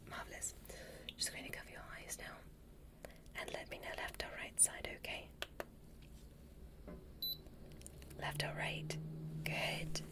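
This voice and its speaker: A woman voicing a polite, curious alien medical examiner in an ASMR roleplay, whispering gentle, formal step-by-step instructions. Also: close to an everyday voice. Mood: soothing, curious.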